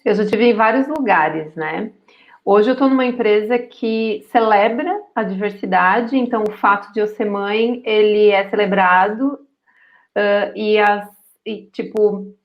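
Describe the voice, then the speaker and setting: A woman in her forties who works in marketing, speaking relaxed in a webinar conversation. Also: mid-range voice, a little slow. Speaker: guest, marketing professional. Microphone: video call. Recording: slightly dull video call audio.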